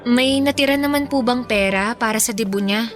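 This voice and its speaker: A woman's voice: a little high, a little fast, over music, lightly acted. A woman with a Filipino accent playing an aggrieved, pouty but respectful teenage daughter in a radio drama. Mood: curious. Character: aggrieved teenage daughter about to turn eighteen.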